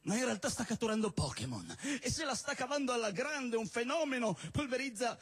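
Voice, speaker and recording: A man performs satirical spoken word live on stage. His voice sits high, and he declaims theatrically, dropping into abrupt asides. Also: very animated, fairly quick, a bit bright, husky. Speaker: stage poet and comic performer. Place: live stage at a party show.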